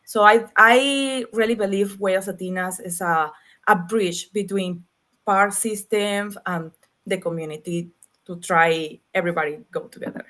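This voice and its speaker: A woman with a Venezuelan Spanish accent talks over a video call, her English earnest and a little halting. She is a native Spanish speaker explaining her work as a panel guest, in a voice of middling pitch. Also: steady tone, bright, forceful tone. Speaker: nonprofit leader, guest. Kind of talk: online panel discussion.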